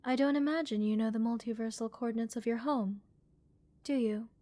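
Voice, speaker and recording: A curious female alien scientist, played by a woman, studies her specimen in a fairly high voice, recorded close and dry. She is calm and clinical, thoughtful and gently reassuring, in a relaxing ASMR roleplay. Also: a little fast, dry recording, natural voice. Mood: thoughtful, measured.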